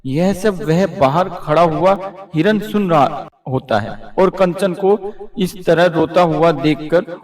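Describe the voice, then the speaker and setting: Echoey male storybook narrator, higher-pitched. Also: slow, darkish tone. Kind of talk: scripted children's story narration.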